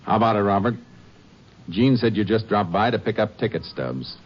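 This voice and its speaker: An actor in a 1950s radio drama playing a steady Texas Ranger, calm, firm and clipped. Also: male voice, on the low side, moderate pace, lightly acted. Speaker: lead and narrator. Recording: lo-fi old radio recording with hiss.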